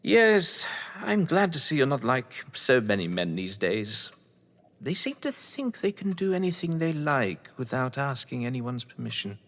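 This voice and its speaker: A man acting a suave upper-class English husband in an audio drama, sardonic and condescending. His medium-pitched voice swoops theatrically through wordy, arch phrases, ringing in a long hall-like reverb. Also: very animated, measured pace, a bit bright. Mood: smooth, probing.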